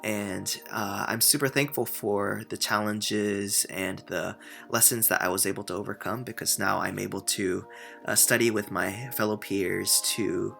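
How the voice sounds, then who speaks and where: A young university student from Japan, whose first language is Japanese, answers interview questions earnestly, with plenty of filler sounds between thoughts. His voice sits low and gravelly, with a bright edge. Faint music plays behind him. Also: steady tone, measured pace. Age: young adult.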